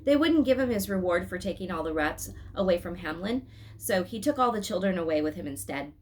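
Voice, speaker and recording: A woman's voice: on the low side, lively intonation, fairly quick, a little mellow, slight background noise, close to an everyday voice. A woman reading the part of an earnest, dreamy young boy in a storybook.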